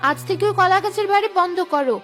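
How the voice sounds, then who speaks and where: A woman playing a high-pitched, nagging cartoon village wife. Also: quick pace, fairly bright tone, soft background music. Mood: angry. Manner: nagging, complaining. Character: scolding, exasperated village wife, protective of her husband. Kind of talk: cartoon voice acting.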